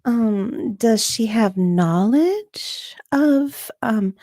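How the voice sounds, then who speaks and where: A woman's voice: fairly high pitch, animated, extremely slow, warm tone, dry recording, studio quality, heavily acted. A woman playing an unsure, hesitant witness in an amateur dramatic reading of a deposition, drawing out her answers. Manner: hesitant, drawn out, uncertain.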